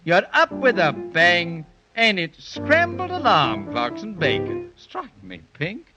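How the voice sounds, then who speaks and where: An actor playing a jolly, eccentric, boastful ostrich with a Cockney accent in a 1930s children's radio show. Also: male voice, high voice, huge pitch swings, slow, dull audio, over music, exaggerated voice. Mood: merry, boastful. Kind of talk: 1930s children's radio acting, part sung. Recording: vintage 1930s radio recording.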